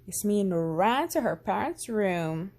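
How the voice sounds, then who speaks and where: A woman reading a children's storybook aloud as narrator, expressive and deliberate. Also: medium pitch.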